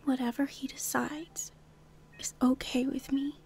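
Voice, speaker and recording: A woman's voice: high voice, little pitch movement, a little slow, gravelly, whispered, over loud music. An actress playing an earnest, devout wife in a radio drama, acting and narrating her heartfelt testimony. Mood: gentle, assured.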